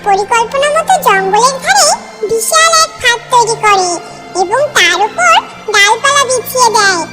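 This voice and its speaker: High-pitched children's cartoon narrator. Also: expressive, normal speed, loud music behind the voice. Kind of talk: scripted children's story narration.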